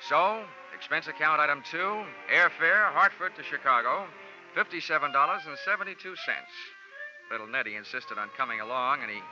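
An actor playing a cool, wisecracking insurance investigator in clipped 1940s hardboiled radio style. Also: male voice, a little high, lively intonation, fairly quick, pushed and bright. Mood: dry narration. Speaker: lead character and narrator. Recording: dull 1940s radio recording, music behind.

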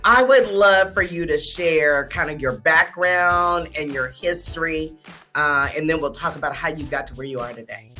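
A woman's voice: deep voice, moderate pace, bright, forceful tone, faint music behind. A woman hosting a conversational interview, responding to her guest with sympathy. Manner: sympathetic, reactive. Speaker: show host, interviewer.

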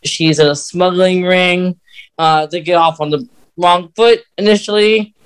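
A man co-hosting a podcast, explaining fan lore casually in a halting way with pauses mid-sentence. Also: high-pitched, fairly even intonation, smooth. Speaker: podcast co-host.

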